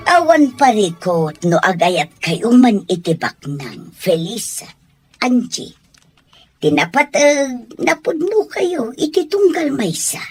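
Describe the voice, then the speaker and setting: Husky, slow-spoken motherly Ilocano aunt. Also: a little high, big pitch swings, faint music behind, exaggerated voice. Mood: tender, advising. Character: caring, encouraging older motherly aunt. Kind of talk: Ilocano radio drama acting.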